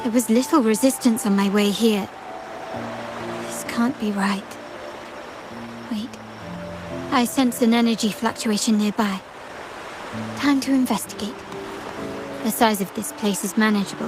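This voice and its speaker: A woman voicing a composed, suspicious young noblewoman in a video game, thinking aloud in a measured, deliberate way. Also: on the high side, fairly even intonation, close to an everyday voice. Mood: alert, focused. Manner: measured, deliberate, self-questioning. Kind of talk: scripted video game voice acting. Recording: roomy echo under loud game music.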